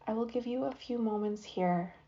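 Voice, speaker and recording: A woman guiding a yoga nidra meditation in a soft, soothing way, with long pauses between phrases. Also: a little high. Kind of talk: guided meditation. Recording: dull lo-fi recording.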